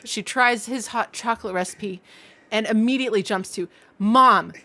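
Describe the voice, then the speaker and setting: A woman's voice: a little high, normal speed, bright, forceful tone. A woman co-hosting a livestream, chatting casually and retelling things with incredulous emphasis and acted-out quotes. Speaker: livestream co-host. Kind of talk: casual chat, comic movie review.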